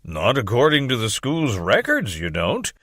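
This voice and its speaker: A man's voice: medium pitch, huge pitch swings, measured pace, a bit bright, dry recording, clean studio sound, full character voice. A man voicing a stern, disgusted male school official in an audiobook, with formal, accusatory, emphatic delivery. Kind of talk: acted audiobook dialogue.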